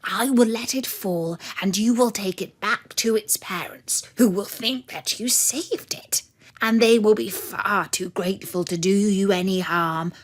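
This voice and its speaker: A woman voicing a sly, scheming wolf in a fairy tale, coaxing in a slow, breathy, conspiratorial whisper. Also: mid-range voice, natural voice. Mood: sly, reassuring. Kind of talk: fairy-tale storybook reading.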